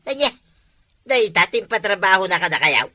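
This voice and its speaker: A woman's voice: medium pitch, sing-song, normal speed, sharp tone. A woman with an Ilocano accent scolding and nagging in a comedy skit.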